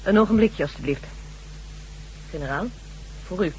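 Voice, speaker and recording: A woman's voice: lowish voice, expressive, normal speed, slight background noise, close to an everyday voice, poor audio. Actress as an earnest, insistent woman scientist. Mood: composed, insistent. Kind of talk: radio drama acting.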